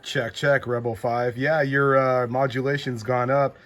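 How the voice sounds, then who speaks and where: A man's voice: medium pitch, level tone, a little slow, fairly bright tone. A man working as a CB radio operator and channel host, talking informally in short calls and acknowledgements, repeating call signs. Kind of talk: casual CB radio contact calls.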